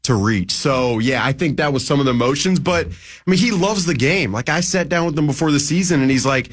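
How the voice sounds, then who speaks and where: A young man in his twenties chats as a guest on sports radio, his voice sitting a touch high. He rambles, piling up fillers and restarting his sentences as he goes, speaking into a studio mic. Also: expressive, fairly quick. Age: 20s. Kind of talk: casual sports radio chat.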